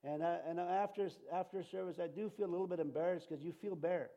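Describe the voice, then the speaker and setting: A man's voice: sits high, fast talker, dark tone. A man preaching as a pastor, whose first language was Cree and Ojibwe, talking to his church in a rambling way with many fillers and restarts. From Canada. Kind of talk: sermon.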